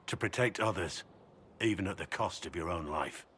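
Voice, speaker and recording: An actor playing a solemn, devoted middle-aged warrior in a video game, speaking earnestly and gravely. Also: male voice, low voice, moderate pace, fairly bright tone, slight background noise.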